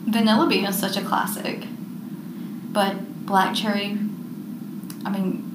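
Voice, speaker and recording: A woman's voice: medium pitch, little pitch movement, measured pace. Chatty young woman podcast co-host. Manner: chatty, rambling, lots of fillers. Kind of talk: casual chat. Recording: roomy echo with background noise.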